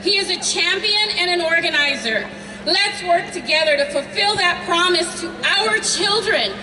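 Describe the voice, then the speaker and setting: A middle-aged woman from Honduras giving a slow, emphatic rally speech to introduce the main speaker. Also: very high-pitched, lively intonation, noisy background. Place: outdoor rally before a large crowd. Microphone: PA microphone recorded from afar. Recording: distant echoey PA over loud crowd.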